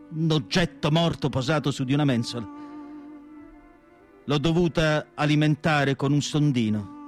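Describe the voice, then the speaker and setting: A man playing a melancholy asylum doctor in radio theatre, narrating in an emphatic literary monologue with dramatic pauses. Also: fairly high pitch, lightly acted. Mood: anguished. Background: music.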